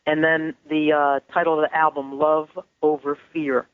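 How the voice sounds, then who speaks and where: A woman's voice: sits low, little pitch movement, normal speed, clean tone, no room sound. A woman musician from New Jersey with a New Jersey accent, a guest on a radio interview. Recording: tinny phone line.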